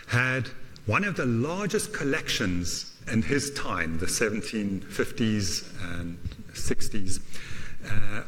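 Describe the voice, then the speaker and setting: A man whose first language is German gives a university lecture, halting often with fillers and adding self-deprecating asides. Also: lowish voice, bright, forceful tone, a little hiss or hum. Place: lecture hall. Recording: lecture hall reverb.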